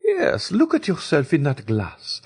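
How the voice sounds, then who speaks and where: Guy doing a chatty older baroness, high lilting voice, kinda husky. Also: wildly swooping pitch, unhurried, darkish tone, heavily acted. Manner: lilting raised falsetto. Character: worldly middle-aged French baroness, frank. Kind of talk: audiobook character reading.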